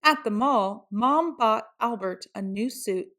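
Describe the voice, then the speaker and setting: Slow, higher-voiced woman storyteller. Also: expressive, a bit bright. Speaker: read-aloud storyteller. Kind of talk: scripted children's story narration.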